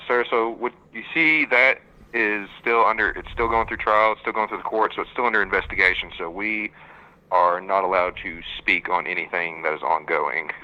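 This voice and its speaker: A man's voice: low voice, pushed and bright. A man answering the phone for a police department, hesitant and cautious, politely repeating stock answers. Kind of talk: polite official phone reply. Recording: muffled phone-line audio.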